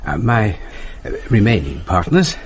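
An actor playing a formal, pedantic British senior lawyer who speaks haltingly in a radio drama. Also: male voice, fairly low pitch, sing-song, slight background noise. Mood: fussy, faltering. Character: fussy, formal male senior lawyer, faltering.

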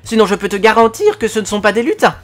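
A woman voicing a tiny, cheerful male forest elf with a high, squeaky voice, reading a storybook. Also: fast talker, faint music behind, natural voice. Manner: chatty, polite, excitable.

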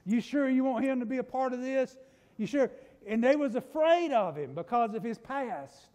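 A middle-aged man with a Southern US accent, preaching a folksy sermon from a church pulpit, swinging between loud and hushed. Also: very high-pitched, lively intonation, moderate pace. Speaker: pastor. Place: church sanctuary. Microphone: pulpit mic from a far camera. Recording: long church-hall reverb.

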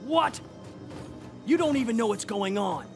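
High-pitched brash cartoon robot. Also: male voice, expressive, a little slow, gravelly, over music, heavily acted. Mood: offended. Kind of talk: animated series acting.

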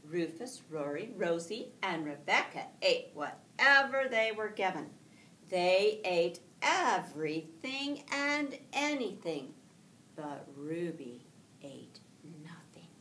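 A middle-aged woman, a teacher reading a picture book aloud to children in an expressive, deliberate storytelling way, with drawn-out words. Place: school classroom, indoors. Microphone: camera a few feet away. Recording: echoey room, distant mic, faint rumbling noise.

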